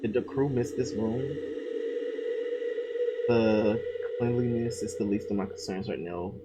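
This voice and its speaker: A man reading a horror visual novel's text aloud, breaking off to swear and react loudly between lines. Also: on the low side, unhurried, very noisy. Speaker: gamer playing a visual novel. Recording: loud game music behind.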